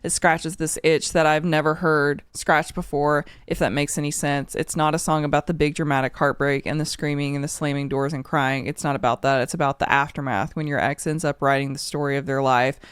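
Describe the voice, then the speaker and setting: Sniffly woman doing an album reaction, smooth clear voice, super dry close mic, emotional and candid, swears a bit. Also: low-pitched, level tone, brisk, few pauses, no echo. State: sniffly from a cold. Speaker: music reaction channel host. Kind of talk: casual album reaction and review.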